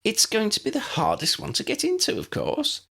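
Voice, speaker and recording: A forthright young witch from a fantasy audiobook, played by a man. The voice is pushed up high and swoops emphatically, full of expression. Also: huge pitch swings, moderate pace, gravelly, pushed and bright, husky, exaggerated voice. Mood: exasperated, explaining.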